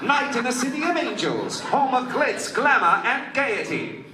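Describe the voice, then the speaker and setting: An actor playing a grandiose old-time superhero serial announcer, narrating a mock-heroic radio play. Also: male voice, very high-pitched, big pitch swings, unhurried, heavy background noise, exaggerated voice. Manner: grandiose, dramatic. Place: live stage at a convention. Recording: echoey convention room, distant camera, music behind.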